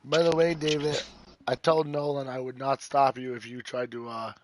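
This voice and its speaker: Streamer type, man with a rough voice, teasing and crude, mid-pitched, faint background hiss. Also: flat delivery, moderate pace. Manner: joking, teasing, swears freely. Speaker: streamer playing a football video game. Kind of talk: casual chat with friends while gaming.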